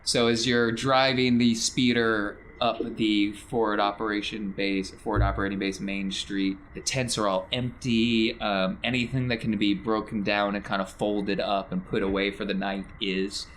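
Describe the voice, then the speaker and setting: A man's voice: fairly low pitch, level tone, normal speed, hardly any pauses, fairly bright tone, poor audio. A man narrating a tabletop game as game master in a conversational, encouraging way. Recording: clear background noise.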